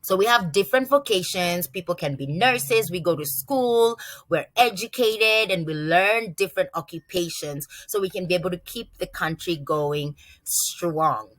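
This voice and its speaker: A woman's voice: on the low side, moderate pace, dry recording. A young Kenyan woman teaching a class of children, explaining things simply with examples. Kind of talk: classroom lesson for kids.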